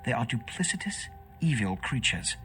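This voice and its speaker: An actor playing a haughty, short-tempered vampire prince in a video game, speaking in a formal, theatrical way. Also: male voice, medium pitch, sing-song, moderate pace, bright, forceful tone, soft background music. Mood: indignant.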